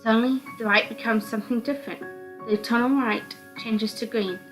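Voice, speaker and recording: A woman's voice: on the high side, steady tone, fairly quick, low-quality recording. A woman reading a visual novel game aloud as dramatic narration. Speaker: host of a visual novel read-through. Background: background music.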